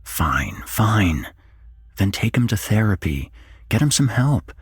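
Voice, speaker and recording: Guy doing angry defensive dad in a whispered horror story, swears a lot, really raspy low voice. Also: very animated, slow, no room sound, clean studio sound, exaggerated voice. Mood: bitter, dismissive. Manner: swearing, exasperated, argumentative. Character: angry, defensive father, adult man, foul-mouthed and stubborn.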